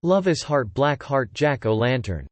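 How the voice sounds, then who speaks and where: A man reading online comments aloud in a plain, even scripted narration. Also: medium pitch, unhurried, dry recording, dull audio.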